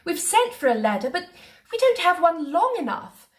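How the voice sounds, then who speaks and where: A woman's voice: very high-pitched, sing-song, moderate pace, pitched above the performer's own voice. A woman voicing a flustered, grand old queen in a children's storybook reading. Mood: worried.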